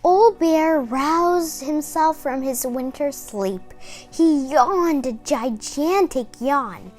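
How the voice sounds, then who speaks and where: Female children's storyteller, high-pitched and unhurried, lively read-aloud delivery.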